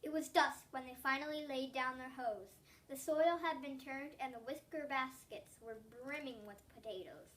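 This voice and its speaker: A woman's voice: high-pitched, normal speed, a bit bright, distant mic. A girl of about eight or nine reading a storybook aloud expressively, with occasional stumbles over words. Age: child, about eight or nine (3rd grader). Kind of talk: read-aloud story narration.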